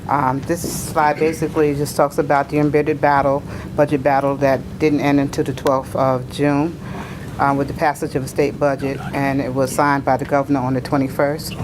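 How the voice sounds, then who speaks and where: A woman with a low voice, a little raspy from recent illness, formally presents budget slides to a council. The recording has a clear layer of background noise. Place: city council chamber. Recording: noisy council chamber audio.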